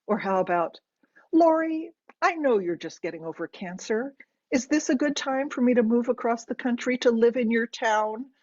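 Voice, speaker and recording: A woman's voice: on the high side, animated, normal speed, darkish tone, lo-fi sound, pitched above the performer's own voice. A woman narrator voicing a middle-aged daughter who is stunned and resentful but forcing politeness, in a strained, guarded manner. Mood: exasperated.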